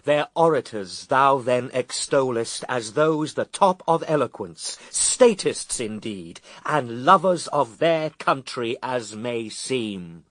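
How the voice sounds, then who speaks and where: A righteous, solemn divine figure in a radio play of epic verse. His deep-set conviction comes through in measured, declamatory phrases at a slow pace. The pitch sits a little low, and the sound is dry. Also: lively intonation, a bit bright, no echo. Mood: firm, measured. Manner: grave, measured, declamatory, assured. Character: solemn, righteous male Son of God rebuking the tempter. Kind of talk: BBC radio drama verse recitation.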